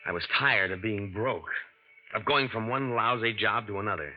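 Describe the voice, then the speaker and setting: Vintage radio crime drama: male actor as cynical, greedy American tough guy, low voice, slangy and defiant, muffled old recording. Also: moderate pace, close to an everyday voice. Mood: cold, defiant. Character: hard-boiled, greedy young male impostor, defiant and self-justifying. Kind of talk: 1940s radio drama acting.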